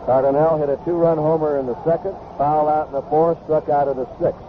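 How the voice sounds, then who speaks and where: A man's voice: a little high, steady tone, a little fast. An American man calling live baseball play-by-play on the radio in short, clipped phrases that follow the action. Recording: noisy, tinny 1970s AM radio broadcast.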